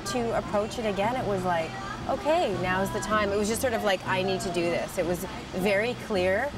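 A woman's voice: medium pitch, moderate pace. A young woman who grew up in Canada and Portugal, a singer, talking earnestly in an outdoor interview with many fillers. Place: outdoors by a harbor railing. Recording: distant mic with music behind.

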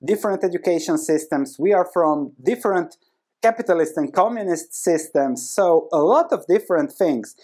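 A man in his thirties with a Croatian accent, a native Croatian speaker, giving an animated, conversational explanation to camera with emphatic repetition. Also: on the high side, normal speed. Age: 30s. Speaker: host of an investing video channel. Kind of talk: explanatory book summary to camera. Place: small indoor room with bookshelves. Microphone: lapel mic.

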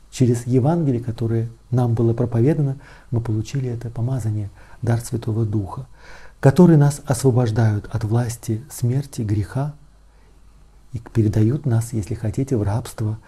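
A middle-aged man gives a reflective Bible commentary as a priest. His voice is very dark and relaxed, with a husky grain and an average male pitch. He moves through the text phrase by phrase, measured and explanatory. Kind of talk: expository religious teaching, reading and explaining scripture. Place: small indoor study with bookshelves.

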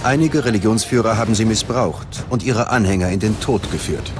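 Low male doc narrator, dramatic and ominous, music going underneath. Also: fairly quick. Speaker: documentary narrator. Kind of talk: scripted narration. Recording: loud music behind the voice.

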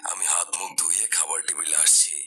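Brief: male actor as patient devout cartoon dad, deep pitch, intensely bright edge, gently admonishing. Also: level tone, fast talker. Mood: calm. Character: pious, patient father gently admonishing his daughter. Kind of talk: cartoon dialogue.

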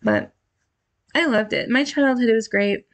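A woman's voice: fairly high pitch, unhurried, vocal fry. Casual woman streamer with music behind. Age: 30s. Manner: rambling, thinking aloud. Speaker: video game streamer. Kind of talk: casual chat while playing a game.

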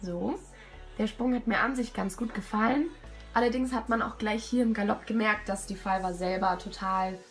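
A hoarse young woman with a cold, talking casually and self-critically over a video of her riding. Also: mid-range voice. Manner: self-critical, chatty, many fillers. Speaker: rider commenting her own show-jumping test video. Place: at home, voice-over.

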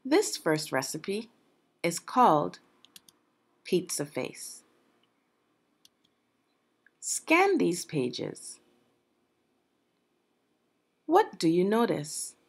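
Casting: woman, middle-aged, lowish husky voice, instructive children's read-aloud with very expressive pitch. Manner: deliberate, instructive, with pauses and questions. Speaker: education consultant reading aloud to children. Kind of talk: guided read-aloud lesson for young children.

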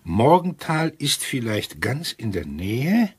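An actor playing a blustery, testy colonel with old-fashioned wording, sleuthing in a German crime radio drama. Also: male voice, medium pitch, wildly swooping pitch, takes it slowly, husky, exaggerated voice. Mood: pondering.

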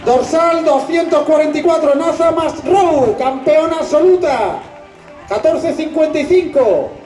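High-pitched race announcer over echoey PA. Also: mellow. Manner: drawn-out emphatic delivery of names, rallying applause. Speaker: race finish-line announcer. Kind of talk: live sports announcing over a PA. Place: outdoor race finish line. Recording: echoey PA at crowded event, music behind.

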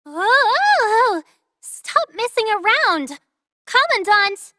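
Very high-voiced playful young artist girl. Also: sing-song, extremely slow, sharp tone, no echo, clean studio sound, heavily acted. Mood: flustered. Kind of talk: video game character voice lines.

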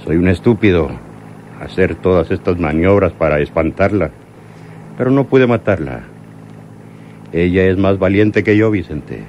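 Deep-voiced, brooding crime boss. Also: male voice, lively intonation, lo-fi sound. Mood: bitter, self-reproaching. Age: older. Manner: deliberate, dramatic delivery. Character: menacing, lovesick male crime boss, bitter and self-reproaching. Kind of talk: radio drama acting. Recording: noisy old radio drama recording.

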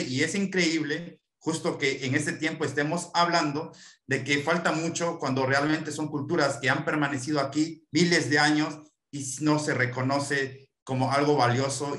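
A man's voice: on the high side, fairly even intonation, normal speed, a bit bright. A man in his thirties from Mexico, answering questions in an online lecture on a video call, earnest and thoughtful with many fillers. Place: small room at home, window behind. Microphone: laptop webcam video call. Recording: echoey room on a video call.

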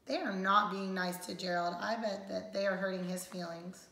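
A woman's voice: medium pitch, moderate pace, creaky, fairly bright tone. A young woman reading a picture book aloud to young children, adding simple explanatory asides. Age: young adult. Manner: simple, child-directed phrasing. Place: indoors, living room on a leather couch. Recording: roomy, echoey home recording.

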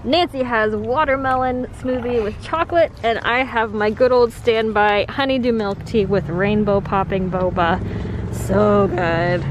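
A woman's voice: medium pitch, noisy background. A woman in her twenties chatting cheerfully to a handheld camera, often giggling. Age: 20s. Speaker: vlogger. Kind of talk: casual vlog chat to camera. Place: kitchen indoors, then outdoors and in a car. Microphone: handheld camera at arm's length.

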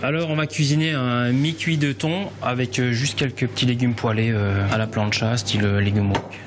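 A man's voice: mid-range voice, fairly even intonation, moderate pace. Middle-aged male chef over kitchen noise. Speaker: restaurant chef. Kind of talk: explaining cooking steps. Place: restaurant kitchen and dining room. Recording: clear kitchen sizzle and ambient noise. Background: frying, sizzling, stirring.

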